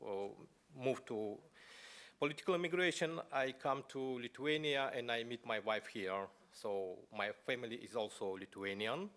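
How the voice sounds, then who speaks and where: A man from Buryatia speaking English as an at a conference, telling his personal story in a halting way with many pauses and fillers. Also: mid-range voice, fairly bright tone. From Buryatia, Russia. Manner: halting, many fillers and restarts, non-native phrasing. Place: parliament conference hall.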